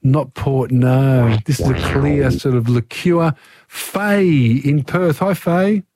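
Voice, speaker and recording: A man's voice: mid-range voice, takes it slowly, mellow, no room sound. An Australian man hosting a talkback radio quiz, chatting with callers in an upbeat way. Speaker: radio host.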